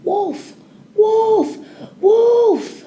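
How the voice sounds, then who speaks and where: Woman playing a high, shouting young shepherd boy. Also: extremely slow, slight background noise, full character voice. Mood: mock alarm. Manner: loud, high calling out. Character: shouting shepherd boy of ten, mischievous and alarmed.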